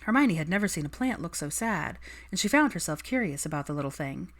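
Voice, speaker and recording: A woman's voice: lowish voice, lively intonation, a little fast. A woman reading fiction aloud as an audiobook narrator, in scripted storytelling style.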